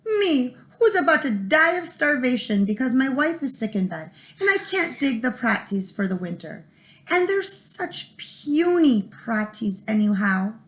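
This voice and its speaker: A woman's voice: high-pitched, very animated, a little slow, fairly bright tone, pitched above the performer's own voice, full character voice, rough recording. A woman voicing a lazy, self-pitying Irish farmer in a storybook, whining as he talks. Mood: complaining, insistent.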